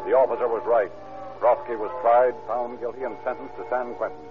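An actor narrating a crime story with brisk authority, in the scripted manner of 1940s radio. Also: male voice, mid-range voice, steady tone, lightly acted. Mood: brisk, grave. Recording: tinny old radio recording. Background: music.

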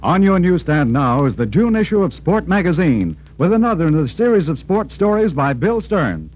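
Man, American accent, high pitch, lively swooping delivery, old-time radio announcing, dull recording. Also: moderate pace, over music. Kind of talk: scripted 1940s radio promotional announcing.

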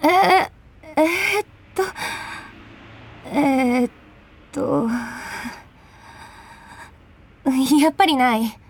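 High-pitched, animated, flustered young office woman. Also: slight background noise. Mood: shaken, evasive. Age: young adult. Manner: animated, emotive, quick to protest. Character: flustered, headstrong 24-year-old office woman, secretly in love. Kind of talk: Japanese radio drama acting with inner monologue.

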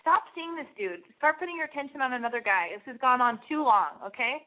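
A woman talks quickly in a slightly high voice, handing out blunt, bossy advice as the host of a call-in show. Her voice comes through narrow and boxy, like a telephone line. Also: big pitch swings.

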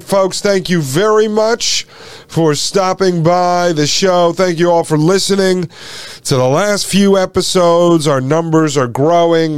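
A man's voice: a little high, clean tone, dry recording. A man hosting his podcast, a little stuffed up from a slight cold, chatting directly to his listeners. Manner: chatty, addresses listeners as folks. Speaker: podcast host. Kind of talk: casual podcast monologue.

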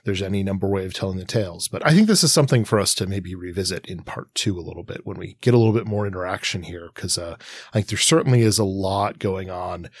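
A man's voice: low-pitched, fast, breathy edge, no echo. A man co-hosting a casual analytical discussion podcast, reflective and thinking aloud with many qualifiers. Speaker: podcast co-host.

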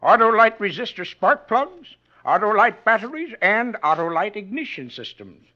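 An actor playing a folksy, jovial family doctor with a hearty sales manner in a 1940s radio commercial skit. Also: male voice, sits high, sing-song, normal speed. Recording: muffled 1940s radio recording.

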